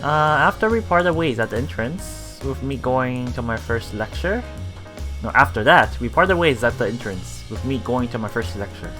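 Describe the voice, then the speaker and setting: A man doing casual let's play commentary, haltingly reading and paraphrasing story text aloud while thinking out loud. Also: medium pitch, expressive, faint music behind. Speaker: let's player.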